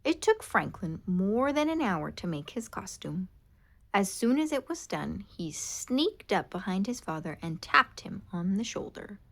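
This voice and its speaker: Woman, children's storybook reader, expressive, animated, slow pace, very lively pitch. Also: vocal fry. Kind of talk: children's read-aloud narration.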